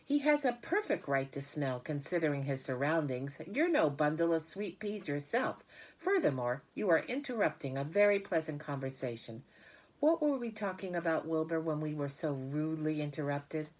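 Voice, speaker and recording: A woman voicing a motherly female spider who is calm, wise and protective, speaking with firm reassurance. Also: deep voice, moderate pace, voice pushed up. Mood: sharp, protective.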